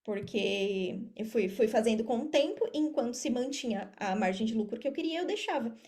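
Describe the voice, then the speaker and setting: A woman's voice: fairly high pitch, lively intonation, fairly quick, darkish tone. A woman with a Brazilian Portuguese accent talking casually as an interview guest, explaining things and repeating phrases for emphasis. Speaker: podcast guest, affiliate marketer.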